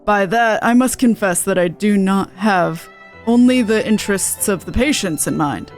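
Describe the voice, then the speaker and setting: A woman's voice: medium pitch, moderate pace, faint music behind, close to an everyday voice. A woman voicing a dignified older nobleman in a video game, earnest and formal with archaic phrasing. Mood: solemn, confessional. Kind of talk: amateur game dialogue read-aloud.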